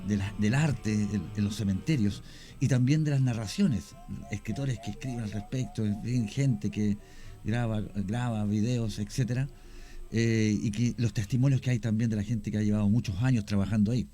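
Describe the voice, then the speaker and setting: A man, a radio guest explaining his cemetery work at length, often pausing with fillers. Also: fairly low pitch, steady tone, dark tone, soft background music. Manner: explanatory, hesitant with many fillers. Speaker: guest, cemetery tour creator. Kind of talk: radio interview.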